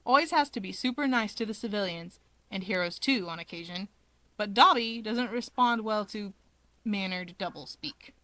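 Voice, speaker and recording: Casting: female story narrator, medium pitch, expressive fiction reading, very dry sound. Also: normal speed, no room sound, poor audio.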